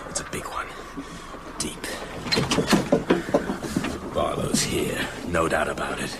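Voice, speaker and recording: Radio drama casting: a headstrong doctor hunting vampires, deep, terse, tense, over clear music. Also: male voice, steady tone, takes it slowly, heavily acted. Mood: hushed, tense. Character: bold, impetuous male doctor hunting a vampire.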